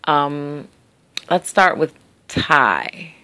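A woman's voice: low voice, very animated, extremely slow, pushed and bright. Chatty woman in her thirties, Southern. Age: 30s. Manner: chatty, rambling, many fillers. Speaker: comedian and mimic. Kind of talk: casual showcase of accent impressions.

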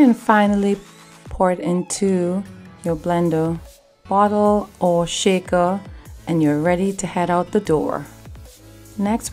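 A woman hosting a cooking video, giving calm step-by-step recipe instructions in voice-over. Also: fairly low pitch. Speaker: cooking channel host.

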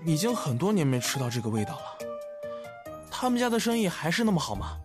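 A young man in a romance audio drama. His voice is fairly high and boyish, with a spirited, easily flustered manner. Music plays clearly underneath. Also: sing-song, quick pace. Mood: nostalgic, eager. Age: young adult.

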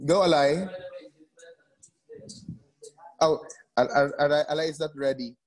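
A man's voice: on the high side, moderate pace, rough recording. A man teaching an online English class over a video call, coaxing students to answer and often repeating names and words.

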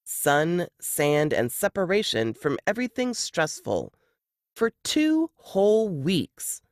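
A woman reads fiction aloud as a narrator, her intonation rising and falling in a lively way. The recording is dry and close, giving the storytelling an uncluttered sound. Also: fairly low pitch, slow pace, dry recording. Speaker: narrator reading a novel excerpt. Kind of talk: expressive audiobook-style narration.

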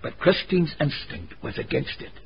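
Gravelly Scottish village storyteller, noisy recording. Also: male voice, on the high side, normal speed. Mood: earnest storytelling. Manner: storytelling, folksy. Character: gossipy Scottish villager recounting events, shrewd and wry. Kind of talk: radio drama narration and dialogue. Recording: dull, noisy old radio drama recording.

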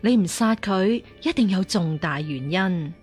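An actress playing a stern, righteous martial-arts nun in a radio drama, questioning with sceptical authority. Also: female voice, mid-range voice, animated, measured pace, a little mellow, soft background music, lightly acted. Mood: stern, probing. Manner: interrogating, accusing, authoritative. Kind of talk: Cantonese radio drama acting.

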